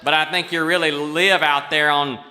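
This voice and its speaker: A man's voice: a little high, fairly even intonation, moderate pace, pushed and bright. A man playing a blunt, cantankerous, unfiltered theologian in a live radio comedy sketch, ranting scornfully. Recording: reverberant auditorium stage.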